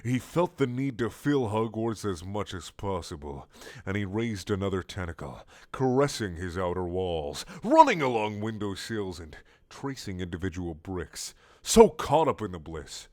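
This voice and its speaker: A man narrating comic fiction from a script in a theatrical, measured, storytelling way. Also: sits low, sing-song, darkish tone. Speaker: narrator reading a fan story.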